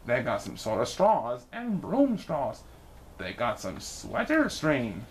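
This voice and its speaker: A woman reading a children's story aloud in an expressive narrating style, with noise behind her. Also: very deep voice, takes it slowly. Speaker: storybook reader.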